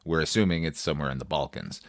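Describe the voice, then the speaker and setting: A man's voice: rumbling low, fast talker, no room sound, studio quality. A man hosting a film review podcast, speaking in a wry, opinionated, sweary monologue. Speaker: podcast host, film critic.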